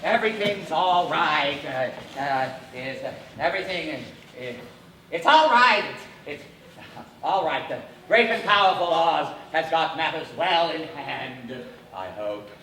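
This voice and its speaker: A man's voice: fairly high pitch, expressive, measured pace, pushed and bright, slight background noise, heavily acted. A stammering, blustering palace doorman. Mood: flustered. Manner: stammering, bossy then backpedaling. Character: flustered, blustering male palace doorman, middle-aged. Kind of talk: 1930s film musical acting. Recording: roomy old 1930s film soundtrack from far off, music behind.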